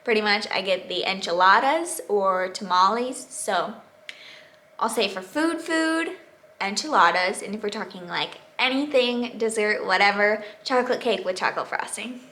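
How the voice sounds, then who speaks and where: A woman in her twenties with an American accent, chatting casually to viewers as a vlogger in a bubbly, expressive, emphatic way. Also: medium pitch, moderate pace. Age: 20s. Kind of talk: casual question-and-answer chat to viewers.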